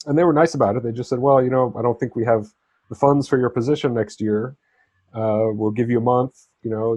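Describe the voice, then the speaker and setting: Husky-voiced man telling his story. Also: medium pitch, a little mellow, no echo. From southern Spain. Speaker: interview guest, freelance writer and travel correspondent. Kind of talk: conversational personal storytelling in an interview.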